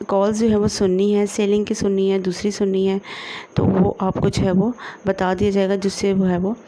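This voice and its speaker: A woman with a Pakistani accent presenting an informal screen-share tutorial, halting often and leaning on repeated filler phrases. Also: medium pitch, completely flat delivery, dark tone, rough recording.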